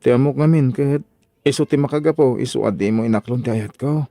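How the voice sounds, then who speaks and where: A man's voice: medium pitch, fairly quick, gravelly, warm tone, no echo, studio quality, close to an everyday voice. A man acting as an apologetic, lovesick rejected suitor in an Ilocano drama, pleading hesitantly with a Filipino Ilocano accent. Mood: sheepish pleading.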